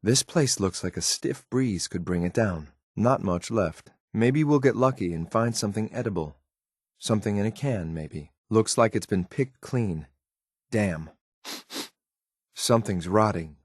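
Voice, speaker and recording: An actor playing a grim, cold synthetic soldier in a video game, speaking in a clipped, deadpan, disdainful way. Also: male voice, lowish voice, measured pace, husky, no echo, studio quality. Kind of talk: scripted video game companion lines.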